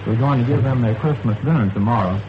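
A man's voice: mid-range voice, fast. A man from Alabama answering a scripted 1940s radio interview as an army sergeant. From Alabama, United States. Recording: dull, noisy wartime shortwave radio relay.

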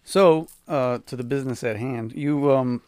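A man's voice: mid-range voice, normal speed, a little mellow, smooth, dry recording, clean studio sound. A man hosting a casual, chatty interview. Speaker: podcast host.

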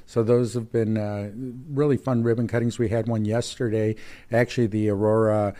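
A man born in Mexico and raised near Chicago, a chamber of commerce president talking casually in an interview, friendly, with many pauses and restarts. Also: on the low side, flat delivery, warm tone. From Mexico, raised in Chicago area.

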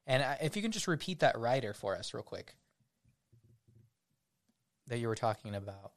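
A man co-hosting a podcast, chatting casually with a guest. He ribs his co-host and rambles a little, with restarts, at an ordinary pitch. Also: very animated, normal speed. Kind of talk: casual chat.

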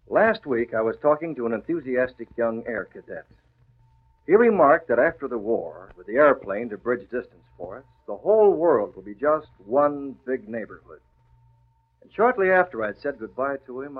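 Earnest guy making an oratorical speech to kids on old radio, medium pitch, thin tinny sound. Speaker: host of a civic message to young listeners. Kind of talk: 1940s scripted radio address. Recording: tinny, narrow-band old radio recording.

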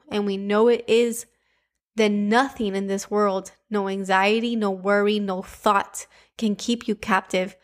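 A young woman with a Cuban accent hosting a devotional podcast, teaching scripture and leading prayer in an earnest, emphatic way. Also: medium pitch.

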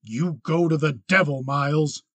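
A man's voice: medium pitch, moderate pace, dry recording, natural voice. A man voicing a heavy, sullen, hot-tempered rancher in a western audiobook, careful and grudging in speech.

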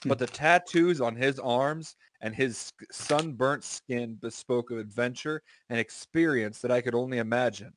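A man's voice: medium pitch, moderate pace, few pauses, a little hiss or hum. A man reading aloud as a podcast co-host, informal and profane, breaking into casual banter.

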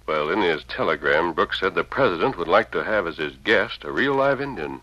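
An actor playing a grave, authoritative Western lawman hero, speaking formally and with measure in a resonant American baritone, in radio drama style. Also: expressive, brisk, pushed and bright, no echo, full character voice. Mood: assured, earnest. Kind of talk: old-time radio western acting. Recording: dull, dry vintage radio recording.

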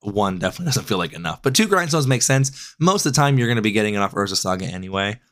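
Male podcast host, hoarse and raspy from a cold, slightly low voice, loose self-correcting delivery. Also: expressive, very fast, fairly bright tone. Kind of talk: casual deck analysis.

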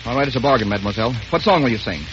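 An actor playing a brisk, commanding young bandleader in old radio comedy style. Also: male voice, medium pitch, lively intonation, lightly acted. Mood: urgent. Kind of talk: 1930s-40s radio comedy acting. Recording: noisy, muffled old radio recording.

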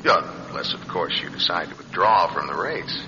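An actor playing a menacing blackmailer in a classic radio drama, voicing a threat in a mock-polite, taunting way. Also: male voice, deep voice, lively intonation, normal speed, loud music behind the voice. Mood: taunting, threatening. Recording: dull, noisy, long-reverb vintage radio voice-over.

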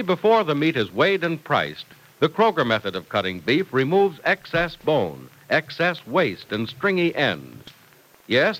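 Male vintage radio announcer, mid-pitched and very animated, for emphatic scripted ad copy and serial narration. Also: poor audio.

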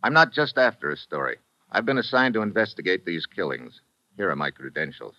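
An actor playing a tough, wry American investigator in an old-time radio drama, with clipped hard-boiled delivery. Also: male voice, low-pitched, big pitch swings, a little fast, bright, forceful tone, dry recording, exaggerated voice. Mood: businesslike. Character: tough wisecracking male American investigator posing as correspondent. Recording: dull, hissy vintage radio recording.

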